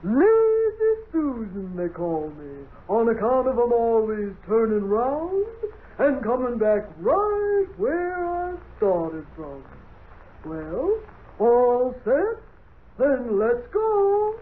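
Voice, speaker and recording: A folksy lady ferry captain in an old children's radio play. She is gossipy and wisecracking, with animated pitch. The recording is scratchy and narrow. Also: slow, slightly rough, heavily acted. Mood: jaunty. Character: jaunty, gossipy female lazy susan ferry captain. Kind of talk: 1940s children's radio acting.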